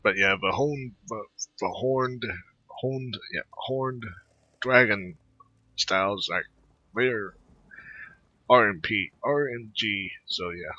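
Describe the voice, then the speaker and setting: Halting man with a harsh, sharp voice. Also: on the low side, dry recording, lo-fi sound. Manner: halting, repeats words, trails off. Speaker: gaming video host. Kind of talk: casual rambling game commentary.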